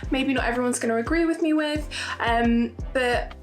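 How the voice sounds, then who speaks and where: High-voiced young woman vlogging earnestly. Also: normal speed, soft background music. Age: 20s. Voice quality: high-pitched voice. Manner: earnest, emphatic, repeats points for stress. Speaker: YouTuber. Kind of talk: casual opinion vlog to camera.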